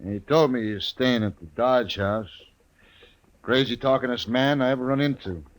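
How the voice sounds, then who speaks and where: An actor as a bluff, drawling frontier sheriff. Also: male voice, mid-range voice, normal speed, natural voice. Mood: hearty, weary. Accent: rural American. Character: hearty, skeptical visiting Old West sheriff. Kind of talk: 1950s radio western acting. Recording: old radio recording with noise.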